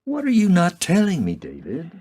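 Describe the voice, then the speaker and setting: An actor playing a pragmatic, questioning American President in a radio play. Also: male voice, high-pitched, huge pitch swings, normal speed, warm tone, husky, full character voice. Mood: probing, concerned. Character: male US President, pragmatic, questioning, politically calculating.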